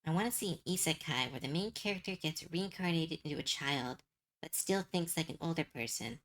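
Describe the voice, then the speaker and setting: A young adult woman streaming as she draws. She rambles and giggles as she thinks out loud, and her voice is going hoarse and tired. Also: sits low, lively intonation, a little fast. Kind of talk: casual chat while drawing.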